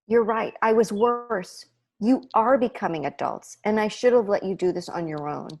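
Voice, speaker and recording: A woman's voice: mid-range voice, normal speed, creaky, darkish tone. An actress playing a loving, apologetic middle-aged mother in a sitcom table read over a video call.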